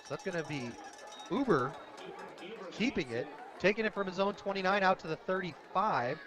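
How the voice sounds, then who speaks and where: High-pitched American man calling football, crowd behind. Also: lively intonation, moderate pace. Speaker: radio play-by-play sports announcer. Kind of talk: live American football commentary. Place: outdoors at a high school football game. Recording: outdoor stadium broadcast with crowd noise. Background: crowd at a stadium.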